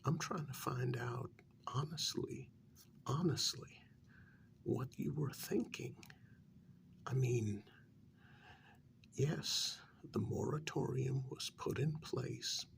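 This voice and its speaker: A performer softly playing a patient, probing official in an ASMR role-play, speaking in a measured, gently reproachful half-whisper. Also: mid-range voice. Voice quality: soft, breathy half-whisper. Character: patient, probing official questioning a renegade vampire.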